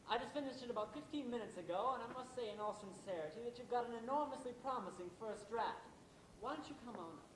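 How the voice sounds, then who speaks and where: A man's voice: very high-pitched, expressive, fast. A man playing a jaded, envious husband and playwright in an amateur stage play, sardonic and theatrically wordy. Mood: sly, smooth. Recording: roomy, echoey stage recording with noise.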